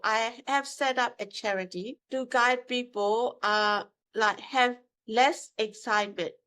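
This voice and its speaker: A woman, her voice sitting a little high. Her English is broken and halting, full of "uh" and "right?" as she explains her work to an interviewer. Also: a bit bright. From Myanmar. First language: Burmese. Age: 50s. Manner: broken English with hesitations, emphatic tag questions. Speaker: guest, mortgage broker. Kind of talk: casual interview answers.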